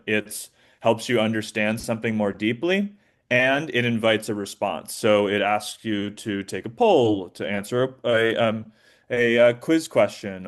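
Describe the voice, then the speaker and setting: A man answering as an interview guest, talking informally and haltingly with many filler words. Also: fairly low pitch. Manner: informal, halting, frequent "like" and "you know". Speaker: interview guest, app founder. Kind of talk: conversational interview.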